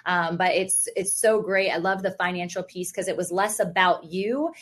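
A woman's voice: lowish voice, normal speed, a bit bright. A woman hosting a conversational interview podcast, responding in an affirming and reflective way. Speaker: podcast host.

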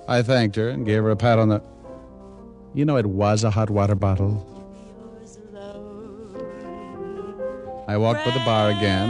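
A man playing a tough, cynical, wisecracking private detective in 1940s radio noir style, with deadpan slang. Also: fairly low pitch, natural voice. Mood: wry narrating. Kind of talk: 1940s radio acting, first-person noir narration. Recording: vintage radio recording with music behind.

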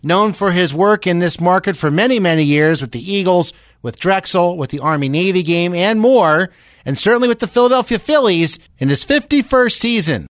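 A man's voice: high voice, expressive, normal speed, no echo, studio quality. An American man hosting a radio show, interviewing a guest in a conversational style.

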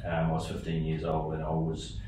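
A low-voiced, mellow New Zealand man in his forties with a New Zealand accent. He talks through his story with plenty of ums and restarts. The mic is set back from him in a very echoey room with faint noise. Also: completely flat delivery, brisk, recorded from a distance. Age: 40s. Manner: hesitant, many fillers and restarts. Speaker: podcast guest. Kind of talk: casual interview talk.

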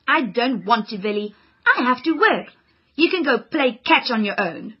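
A woman's voice: fairly high pitch, big pitch swings, moderate pace, husky, dull audio. A woman voicing an earnest, studious young girl who loves homework and avoids exercise. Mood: prim.

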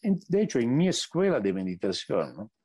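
Lively-pitched man with an Italian accent. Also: breathy edge, no echo, clean studio sound. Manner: searching for words, slowing to stress points. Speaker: meditation teacher, radio guest. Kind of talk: reflective interview answers.

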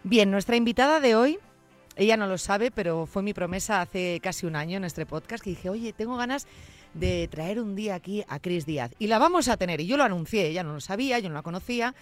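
A woman from Zamora, Spain, hosting a podcast interview in an expressive, exclamatory way with wide swings in pitch. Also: brisk, faint music behind. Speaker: podcast host. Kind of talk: casual interview.

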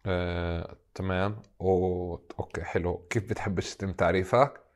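A man's voice: deep voice, fairly even intonation, normal speed. A man hosting a podcast, greeting and questioning his guest in a friendly way, with some hesitant fillers. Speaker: podcast host.

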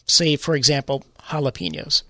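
A middle-aged man from New York co-hosting a garden talk show, explaining in a measured, careful way with small restarts. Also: a little high, fairly even intonation, a bit bright, no echo, studio quality. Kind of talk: casual radio chat.

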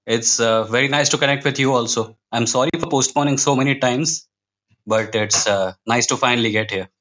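Indian man, middle-pitched, informal. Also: fairly quick, a bit bright. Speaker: podcast guest, freelance writer. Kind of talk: casual interview chat.

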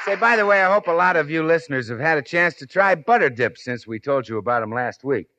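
An American male announcer delivers a folksy radio sales pitch from the 1950s. His voice sits a little high, over clearly audible broadcast noise. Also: lively intonation, quick pace, crowd noise. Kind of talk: 1950s radio advertisement read.

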